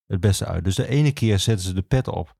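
A man explains history as a guest archivist, in halting, self-correcting phrases. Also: low voice, animated, gravelly, mellow, breathy edge, no room sound, clean studio sound. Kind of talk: explanatory interview talk on history.

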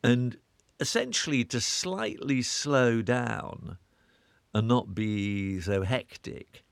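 A man's voice: mid-range voice, slow pace, gravelly, dry recording. A British man giving an interview guest's reflective answers, often restarting and repeating words as he searches for a thought.

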